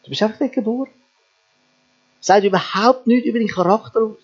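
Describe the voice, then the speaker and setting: A man's voice: sits high, fast talker, husky. A man preaching a sermon earnestly, asking his listeners rhetorical questions. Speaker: pastor. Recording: dull lo-fi sound with mains hum.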